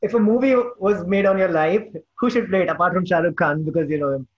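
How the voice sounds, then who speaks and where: Podcast host, male, notably high voice, casual chatty interviewing, somewhat lo-fi recording. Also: fast talker.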